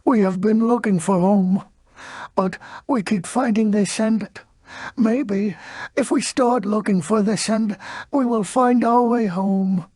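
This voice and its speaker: A woman voicing a gentle, simple-minded male bear in a children's storybook, earnest and musing. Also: medium pitch, slow, no room sound, studio quality, pitched above the performer's own voice.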